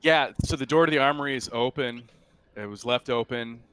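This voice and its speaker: A man improvising scene descriptions as game master of a tabletop game, in a halting, descriptive way. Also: mid-range voice, a bit bright, clear, resonant tone. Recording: faint background noise.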